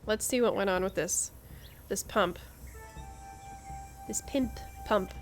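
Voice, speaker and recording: A woman giving casual, expressive playthrough commentary, thinking aloud. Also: medium pitch, takes it slowly. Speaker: game streamer. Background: game music.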